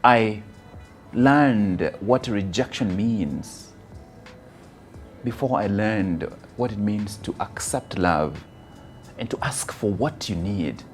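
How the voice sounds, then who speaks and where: Kenyan man speaking deliberately over music. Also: on the low side, animated. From rural Kisii, Kenya. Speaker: motivational speaker. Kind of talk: motivational personal testimony.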